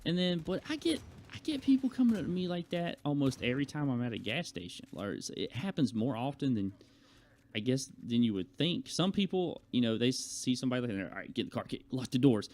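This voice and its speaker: A man's voice: medium pitch, big pitch swings, brisk, darkish tone. Casual man rambling through personal stories. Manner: colloquial, conversational, acts out quoted exchanges. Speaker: YouTuber telling personal stories to viewers.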